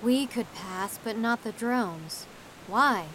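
A woman's voice: on the high side, slow pace, a bit bright, a little hiss or hum, rough recording. An actress playing a principled, exasperated woman who talks directly and challengingly in an audio drama. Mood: puzzled.

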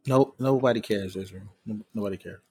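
A man's voice: fairly low pitch, brisk, mellow, breathy edge, no room sound. A man in his late thirties talking casually as the host of a podcast. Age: late 30s. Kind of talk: casual chat.

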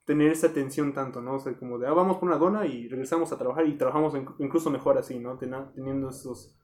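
A man's voice: medium pitch, fast. A young man, an architecture student reflecting casually on teamwork. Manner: relaxed, reflective, lots of fillers. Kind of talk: casual group chat.

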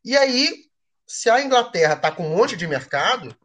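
A man with a Brazilian Portuguese accent explaining history in a casual, lively way, often checking in with his listeners. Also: high-pitched, normal speed. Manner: colloquial, lively, frequent "tá?" check-ins. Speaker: history teacher. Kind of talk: explaining history to a class or show.

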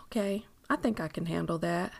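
A woman's voice: on the low side, moderate pace, gravelly. A woman voicing a guarded, easily flustered woman falling in love, hesitant and apologetic, in an audiobook romance. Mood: guarded, softened.

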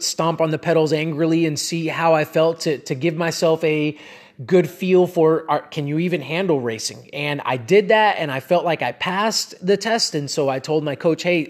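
A man in his late thirties talks alone into a podcast microphone in a loose, rambling way. His voice sits a little high for a man, and he stops often with "um" and "you know" and starts his sentences over. The delivery is relaxed and conversational, like catching up with regular listeners. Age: 30s. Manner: casual, rambling, with frequent fillers and restarts. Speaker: podcast host and cycling coach. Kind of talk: solo podcast monologue.

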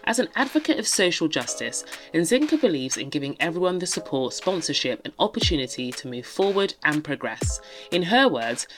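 Woman podcast host, deep voice, reading the intro over clear music. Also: sing-song, poor audio. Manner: polished, measured reading. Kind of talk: scripted show introduction. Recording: music bed under the voice.

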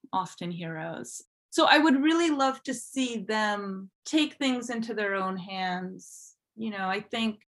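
Woman guest on a podcast, chatty n informal, kinda self-deprecating, medium pitched voice. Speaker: podcast guest, fan fiction writer. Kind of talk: casual conversational interview.

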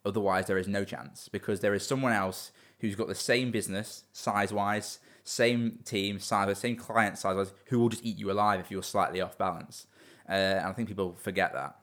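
A man's voice: fairly low pitch, fairly even intonation, moderate pace, a bit bright. A young man in his twenties, a business owner talking earnestly in a casual interview, often restarting and repeating words.

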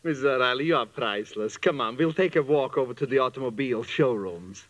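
An actor playing a sardonic musician professor who teases with mock sincerity, in old-time radio comedy style. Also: male voice, medium pitch, quick pace, a bit bright, muffled recording, close to an everyday voice. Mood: sly teasing. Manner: mock-sincere, dry needling.

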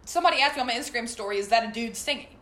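Young woman, lowish raspy voice, chatty and loose, bit of room echo. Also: very fast, a bit bright. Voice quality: low, slightly raspy singing voice. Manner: chatty, loose, lots of fillers. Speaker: guest singer and artist. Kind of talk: casual podcast chat. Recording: roomy echo.

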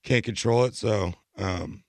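A young American man with a hoarse voice, chatting casually as a podcast co-host in a rambling, slangy way. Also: fairly low pitch, unhurried, no echo. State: hoarse, recovering from illness. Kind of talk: casual chat.

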